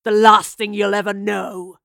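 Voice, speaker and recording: A woman's voice: mid-range voice, slow pace, a bit bright, dry recording, studio quality. A woman reading a story, voicing a menacing male villain who growls and snarls threats. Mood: snarling. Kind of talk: audiobook reading.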